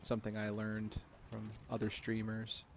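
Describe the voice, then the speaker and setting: A man in his thirties quietly talking through a game at home at night, half-whispering, relaxed and self-deprecating. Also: on the low side, moderate pace, gravelly, slight background noise. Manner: hushed, half-whispered talk. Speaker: gaming streamer. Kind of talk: casual let's-play commentary.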